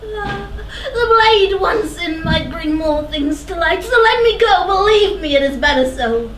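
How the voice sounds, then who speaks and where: An actress playing a blind old prophet in a stage verse drama, declamatory, foreboding and taunting. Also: female voice, very high-pitched, animated, measured pace, fairly bright tone, exaggerated voice. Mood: anguished reluctance. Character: blind old female seer, ominous and defiant. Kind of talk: theatrical verse stage acting. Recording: echoey theatre, distant mic, faint noise.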